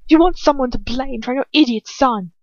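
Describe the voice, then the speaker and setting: A woman reader plays a bitter, hot-headed young man in a storybook drama. He sputters and shouts, raw with protective hatred, the pitch pushed up. The recording is dry and a bit dull. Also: brisk, no echo. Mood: bitter anger. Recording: slightly dull, lo-fi home recording.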